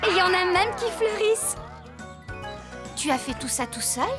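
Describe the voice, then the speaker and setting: High-voiced, kindly cartoon farm woman. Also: normal speed, over loud music. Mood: admiring, encouraging. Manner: expressive, encouraging delivery. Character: kindly, enthusiastic woman farmer, encouraging and affectionate. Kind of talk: children's cartoon dubbing.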